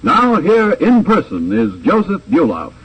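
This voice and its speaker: A man's voice: a little high, wildly swooping pitch, unhurried, a bit bright, breathy edge. A man reading scripted 1950s radio announcements in a formal, declamatory manner. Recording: distant old radio recording under music.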